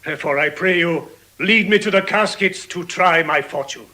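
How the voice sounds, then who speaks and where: An actor playing a proud, ardent warrior prince, declaiming verse in a grandiose classical drama style. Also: male voice, sits high, moderate pace, sharp tone. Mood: boastful. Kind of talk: declamatory Shakespearean verse acting.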